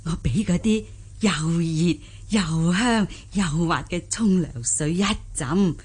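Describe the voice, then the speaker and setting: A woman's voice: on the low side, slow, darkish tone, husky. A woman playing a jolly, garrulous old peasant granny in a Cantonese radio drama, folksy and full of exclamations, with a Hong Kong accent. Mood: jolly, relieved. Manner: folksy, exclaiming, chuckling, self-deprecating.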